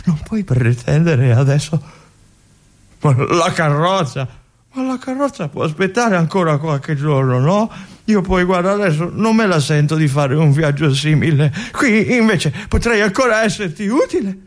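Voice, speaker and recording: An ailing veteran army lieutenant in a literary stage drama, forsaken and bitter. He speaks very slowly and haltingly, circling back over his own words. His brooding, yearning delivery belongs to a solitary theatrical monologue. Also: fairly high pitch, animated. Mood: pleading. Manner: halting, repeating words, brooding, swinging from whispers to anguished cries.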